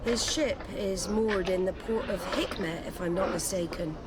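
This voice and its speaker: A woman's voice: medium pitch, moderate pace, over loud music, natural voice. An actress playing a guarded female admiral in a game, formal and clipped.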